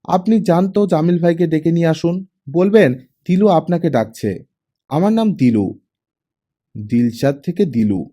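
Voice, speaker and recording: An earnest, outspoken teenage girl in an audiobook, acted out by a male narrator. The voice is pitched high and swings with lively intonation. The delivery is naive, direct and insistent. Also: unhurried, pauses often. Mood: bossy.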